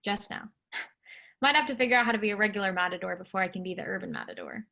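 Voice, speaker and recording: A woman's voice: medium pitch, quick pace, creaky, sharp tone. An actress playing a headstrong, wry young woman in a staged play reading. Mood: wry, resolute.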